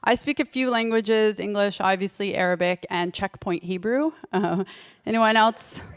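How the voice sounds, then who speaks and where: Clear-voiced woman doing stand-up. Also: medium pitch, moderate pace. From United States. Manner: conversational, self-interrupting, acts out quotes. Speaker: stand-up comedian. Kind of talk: stand-up comedy set.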